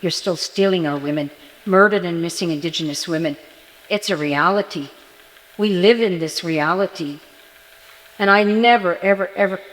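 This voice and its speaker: An older woman from an Algonquin First Nation community speaking on a panel, giving impassioned testimony and repeating words for emphasis. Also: fairly low pitch. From Kitigan Zibi, Algonquin First Nation community in Quebec. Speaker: forum panelist. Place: conference hall. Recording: reverberant hall sound.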